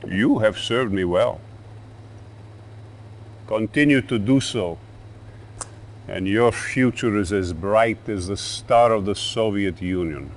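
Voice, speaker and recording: An actor playing an older Soviet dictator in a video game, ominous and deliberate. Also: male voice, fairly low pitch. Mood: grandiose, ominous. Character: menacing Soviet dictator, older man, boastful and theatrical. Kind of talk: video game cutscene acting.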